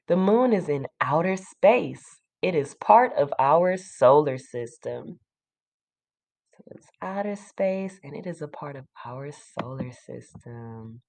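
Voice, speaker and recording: A woman teacher reading a children's book aloud to a class, pausing to explain simply and put questions to young listeners. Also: low voice, expressive, vocal fry, a little mellow.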